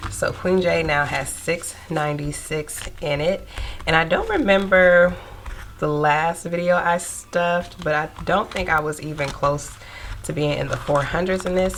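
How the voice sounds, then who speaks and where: A young woman with a stuffy nose from a cold, chatting casually to viewers while counting out cash in a steady murmur. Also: deep voice, creaky, background noise. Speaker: budgeting vlogger.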